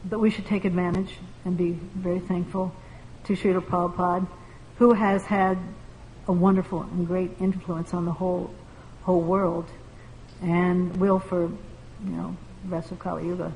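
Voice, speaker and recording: A woman leading a devotional group discussion, speaking earnestly and haltingly with many fillers, in a large room with a group. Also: fairly low pitch, flat delivery, a little mellow. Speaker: class discussion leader. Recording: distant echoey hall, faint hiss.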